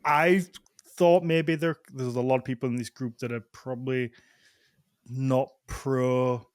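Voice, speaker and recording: A man's voice: medium pitch, steady tone, measured pace, a little mellow, dry recording, clean studio sound. A man co-hosting a podcast, chatting casually in a rambling way full of filler words and acted-out quotes. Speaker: podcast co-host. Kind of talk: casual chat.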